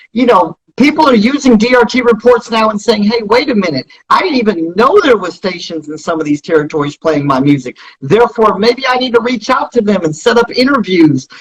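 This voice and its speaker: High-pitched, husky-voiced man explaining earnestly. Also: fairly bright tone, rough recording. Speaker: interview guest, company founder. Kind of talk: promotional interview talk.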